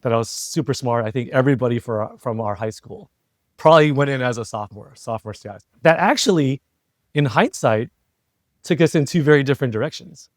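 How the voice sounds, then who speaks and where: A man's voice: medium pitch, brisk, no room sound, studio quality. A middle-aged American man chatting reflectively as an interview guest, talkative and often checking for agreement as he goes. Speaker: interview guest, entrepreneur and former CEO. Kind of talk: casual conversational interview.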